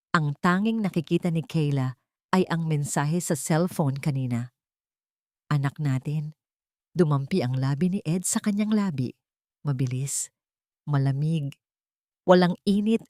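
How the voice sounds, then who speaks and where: Casting: female Filipino-accented audiobook narrator for dramatic fiction, slightly low register, very dry recording. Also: mellow, no echo. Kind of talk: scripted dramatic story narration.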